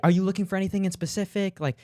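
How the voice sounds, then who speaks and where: A man's voice: high voice, fairly even intonation, rapid-fire, a little mellow. A young man co-hosting a casual chat, asking questions and thinking aloud. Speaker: podcast co-host.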